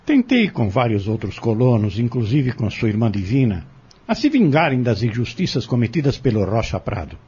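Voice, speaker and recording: A cunning, vengeful defendant testifies in an audio drama, acted out by a man. His voice is very husky and animated, and he speaks deliberately and defiantly. The recording is dull and lo-fi. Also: medium pitch. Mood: cold, calculating. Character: cunning vengeful male defendant confessing in court.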